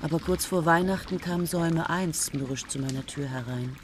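An actress in a radio play playing a young woman student who speaks softly and dreamily. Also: sits low, moderate pace, a little hiss or hum, natural voice. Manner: soft and hushed, dreamy. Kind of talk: radio play acting and narration.

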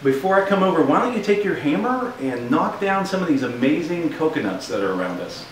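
Gently reassuring pastor man. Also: a little high, big pitch swings, fairly quick. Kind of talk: children's church puppet skit. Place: studio with beach backdrop. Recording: roomy echo, distant mic, faint hiss.

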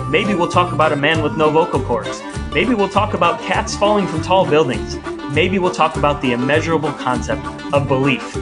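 An American man co-hosting a podcast in an articulate, measured, scripted delivery. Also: a little high. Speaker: podcast co-host. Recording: guitar music behind the voice. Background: guitar music.

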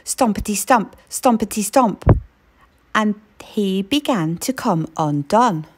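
A woman reading a children's picture book aloud in an expressive storytelling way. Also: medium pitch, slight background noise. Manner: expressive storytelling, slow and lilting.

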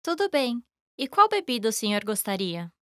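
A woman reading scripted lesson dialogue in a careful, even way. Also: on the high side, normal speed, no room sound, clean studio sound. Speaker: language lesson voice actor.

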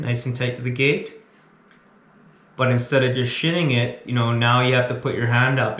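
Flat-voiced man coaching skiing. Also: mid-range voice, echoey room. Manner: restarts and repeats words, tags lines with "right?". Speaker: ski racing coach. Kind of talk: casual instructional talk to camera.

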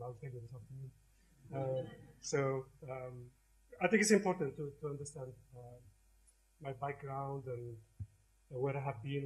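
A man's voice: medium pitch, darkish tone. A middle-aged man from Algeria giving an academic lecture in a lecture hall, talking conversationally with frequent hesitations and restarts.

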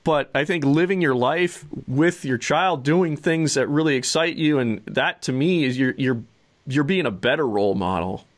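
A man's voice: on the high side, normal speed. Middle-aged man chatting earnestly. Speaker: podcast host. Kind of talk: casual podcast chat. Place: small indoor studio with wood-panel wall. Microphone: desktop microphone close in front.

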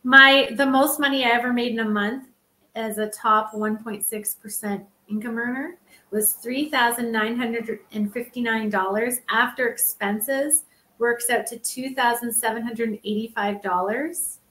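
A woman with a slightly high voice talks earnestly on a panel, stacking up figures and restarting her sentences as she thinks. Her audio carries a roomy echo. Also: steady tone, unhurried, bright, forceful tone. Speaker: panel guest, former social seller. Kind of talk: casual panel discussion.

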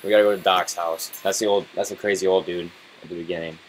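A man's voice: deep voice, steady tone, fast talker, slight background noise, low-quality recording. A man giving casual, foul-mouthed and grumbling commentary as he plays a video game. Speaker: let's-play host playing and commenting. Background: video game sound.

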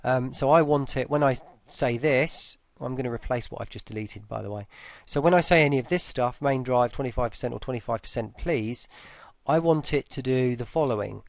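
A man talking through a casual screen-recorded software tutorial, informally, with muttered asides to himself. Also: mid-range voice, a little fast, gravelly, few pauses, no room sound.

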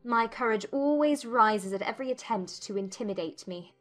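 A woman playing a witty, spirited young gentlewoman, arch and playful, in an audiobook drama. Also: fairly high pitch, moderate pace, soft background music, close to an everyday voice. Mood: arch, defiant.

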